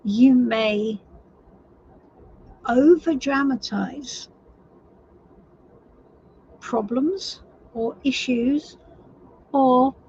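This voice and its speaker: Tarot reader, female, hoarse and husky; slow, reassuring delivery, dull lo-fi recording. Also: high voice, a little mellow. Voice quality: husky, croaky. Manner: unhurried, reassuring, thinking aloud. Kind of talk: live tarot reading.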